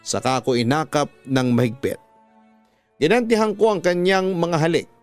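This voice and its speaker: A man with a Filipino accent reads a dramatic story as a radio storyteller, phrasing slowly and emotively. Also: on the high side, expressive. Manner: slow, emotive, deliberate phrasing. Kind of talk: dramatic scripted story narration. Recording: music bed behind a dry studio voice.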